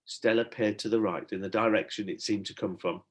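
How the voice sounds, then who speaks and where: A man narrating a children's storybook in an expressive, dramatic storytelling manner, like a teacher reading aloud. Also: fairly low pitch, a little fast, husky.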